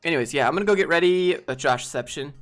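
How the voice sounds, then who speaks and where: Soft-voiced man chatting casually on stream. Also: on the high side, quick pace, soft background music. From Southern United States. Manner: relaxed, rambling, laughs often, many fillers. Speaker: streamer and host. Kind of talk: casual live-stream chat.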